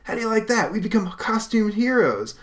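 A man voicing a hot-headed, angry young comic-book hero who shouts fast and loud. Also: sits high, big pitch swings, pitched above the performer's own voice. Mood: excited.

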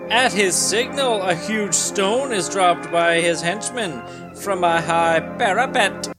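A man's voice: high-pitched, slow, music in the background, low-quality recording. A man hosting a comedic dramatic reading of a comic, switching between mock-dramatic narration and casual, jokey asides. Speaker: podcast host and narrator.

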